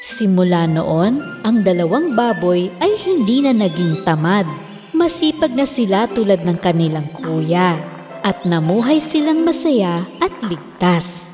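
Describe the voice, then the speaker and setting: Isang babaeng nagsasalaysay ng nakasulat na kuwentong pambata, may alingawngaw at mahinang musika sa likod. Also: female voice, mid-range voice, sing-song, a little slow. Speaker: storyteller. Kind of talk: scripted children's fairy tale narration. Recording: long reverb, faint music behind.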